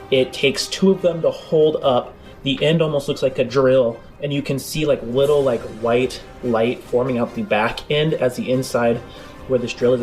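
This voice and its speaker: A man working as game master, describing scenes in a tabletop roleplaying game in a conversational but dramatic way. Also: medium pitch. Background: background music.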